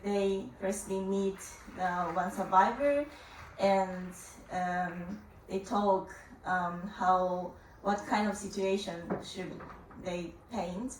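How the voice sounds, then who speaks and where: Young woman, slow halting panel answer; distant, roomy conference-hall sound. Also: mid-range voice, a little mellow, slight background noise. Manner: halting, searching for words, many fillers. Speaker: youth panelist. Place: conference room. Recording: echoey conference room from far off.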